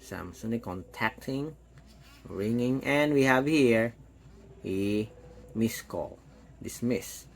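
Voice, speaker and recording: A man giving a casual, hands-on product demo, talking step by step in short, halting phrases. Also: medium pitch, vocal fry, a little hiss or hum. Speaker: product reviewer.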